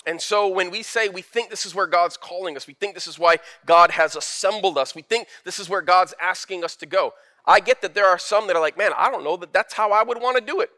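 Man, pastor, sermon, candid, conversational, emphatic, bright tone, slightly high voice. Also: brisk.

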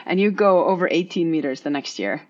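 A woman's voice: lowish voice, animated, brisk. A woman hosting an interview, asking long, eager, rambling questions. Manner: enthusiastic, rambling questions with frequent laughs. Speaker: interviewer, podcast host. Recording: dull lo-fi audio.

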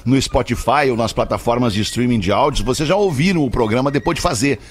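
A man from hosting a radio show, loud and animated, reading ads in a sing-song way. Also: medium pitch, fast. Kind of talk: lively radio show hosting with live ad reads. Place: radio studio.

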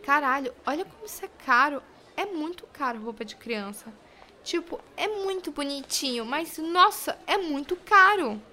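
A woman's voice: high voice, lively intonation, normal speed, pushed and bright. A Brazilian woman playing a bubbly, self-questioning young professional in an audio drama. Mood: astonished. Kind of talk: audio drama dialogue and monologue.

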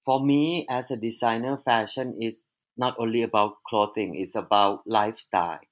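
Low-voiced Thai man, halting phrasing. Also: fairly even intonation, fairly bright tone. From Thailand. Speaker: fashion designer. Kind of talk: interview answer.

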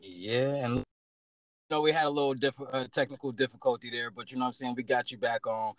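A man's voice: medium pitch, fairly even intonation, brisk, no echo, poor audio. A man hosting a podcast in casual interview chat, laid-back and full of fillers. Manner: laid-back, many fillers and "you know what I'm saying". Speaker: podcast host.

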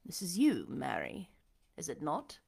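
A woman voicing a desperate, passionate blind gentleman in an audiobook, with a dramatic, demanding delivery. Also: low voice, huge pitch swings, unhurried, vocal fry, slightly rough, pitched below the performer's own voice, full character voice. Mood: low, wondering. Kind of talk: audiobook character acting.

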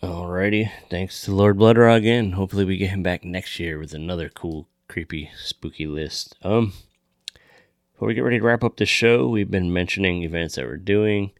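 A man's voice: low voice, moderate pace, dry recording, clean studio sound. A man co-hosting a podcast in casual, joking chat. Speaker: podcast co-host.

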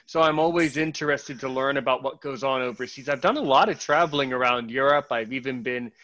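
A man's voice: mid-range voice, fairly quick, pushed and bright. A man hosting a podcast in a casual, rambling way, with fillers and restarts. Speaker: podcast host.

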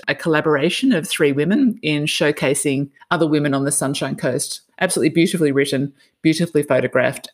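A podcast host with a mid-range voice guides an interview. The host strings together long, loose sentences padded with fillers. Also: mid-range voice. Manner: warm, rambling introductions with fillers. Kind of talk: hosted interview.

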